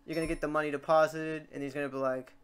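A tutorial host chatting informally and thinking aloud in a meandering way while working through software steps. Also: medium pitch, flat delivery, very fast, clear, resonant tone. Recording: noisy room, keyboard and mouse clicks. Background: typing and mouse clicking.

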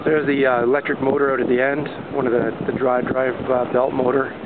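A man's voice: mid-range voice, level tone, a little fast. A man giving casual, rambling first-person vlog narration outdoors, hesitant and understated in manner. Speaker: amateur explorer filming a vlog. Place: outdoors on an exposed seaside catwalk. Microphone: handheld camera microphone. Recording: tinny handheld camera mic, heavy wind noise. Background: loud wind.

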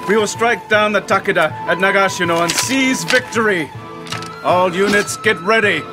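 An actor playing a ruthless, ambitious warlord of feudal Japan in a video game cutscene, speaking in a grave, commanding, portentous manner. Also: male voice, high voice, normal speed, over music, close to an everyday voice. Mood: rousing battle cry.

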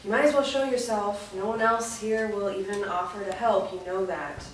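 A woman's voice: mid-range voice, fairly even intonation, normal speed, natural voice. A woman playing a determined woman in a staged script reading, firm and urging. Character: determined woman coaxing someone from hiding. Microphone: far camera. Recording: echoey room, distant mic, faint noise.

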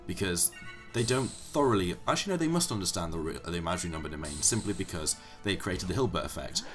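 Young man, hoarse and low, casual let's play commentator who rambles and corrects himself. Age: young adult. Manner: rambling, self-correcting explanations. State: hoarse from illness.

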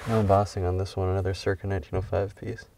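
Low gravelly man narrating a dig. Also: rapid-fire, recorded from a distance, slight background noise. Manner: calm, matter-of-fact, reading out finds. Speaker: bottle digger. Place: outdoors at an excavation pit.

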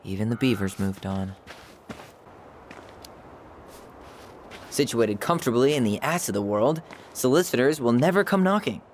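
A man's voice: mid-range voice, animated, a little fast, a little hiss or hum, close to an everyday voice. An actor playing a wry, nostalgic young American man in naturalistic, casual video game dialogue. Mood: wry, teasing. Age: young adult.

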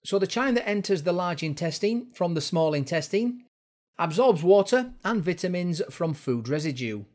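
A man with a fairly high-set voice presents a lesson as a course instructor. He explains things informally and step by step, in the style of an educational narration. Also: lively intonation, normal speed, darkish tone.

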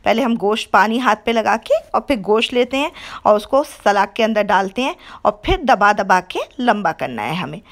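A woman hosting her cooking channel, explaining a home recipe step by step in a homely, repetitive way with frequent self-corrections. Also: a little high. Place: home kitchen.

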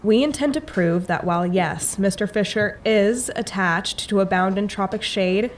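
An actress playing a firm, curt defense lawyer in an audio drama, formal and controlled. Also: female voice, medium pitch, moderate pace, a little hiss or hum, natural voice.

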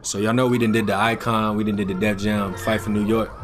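A man's voice: lowish voice, little pitch movement, fairly quick, slight background noise. A man in his twenties with an American accent, giving casual, slangy gaming commentary as a YouTube host. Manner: slangy, repetitive hype. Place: indoor gaming room. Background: music and game audio.

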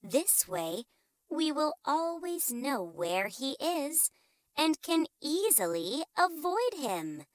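A woman's voice: sits high, very animated, slow, dry recording, voice pushed up, exaggerated voice. A woman voicing a clever, proud young male mouse in a storybook, making an earnest, declamatory speech.

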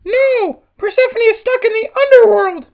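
A man voicing a distraught, doting mother goddess in melodramatic falsetto for an amateur cartoon puppet show. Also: moderate pace, gravelly, phone-quality sound.

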